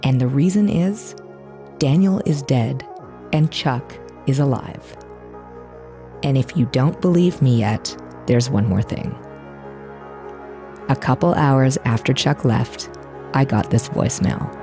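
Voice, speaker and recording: An actress playing an earnest true-crime podcast host, narrating reflectively. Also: female voice, rumbling low, expressive, slow, long pauses, mellow, soft background music, full character voice. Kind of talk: scripted narration.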